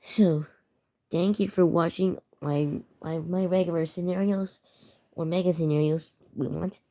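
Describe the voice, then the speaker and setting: A woman narrating amateur scripted emergency news bulletins in a halting way, often stumbling over and repeating words. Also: lowish voice, steady tone, warm tone. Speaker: narrator of a fictional emergency alert scenario.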